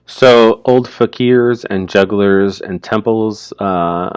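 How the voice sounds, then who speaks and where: Low-voiced man giving informal commentary. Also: takes it slowly, clean tone, dry recording. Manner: colloquial, explanatory, emphatic check-questions. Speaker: commentator explaining a short story.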